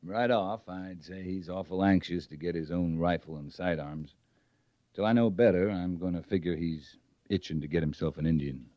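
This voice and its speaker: An actor playing a steady, self-assured frontier cavalry captain in an old radio western, laconic and dryly understated. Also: male voice, sits low, moderate pace, lightly acted. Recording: muffled vintage radio recording.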